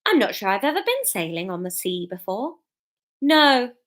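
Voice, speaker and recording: Woman reading a curt, direct young man, bright-toned. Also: mid-range voice, normal speed. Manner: even, direct.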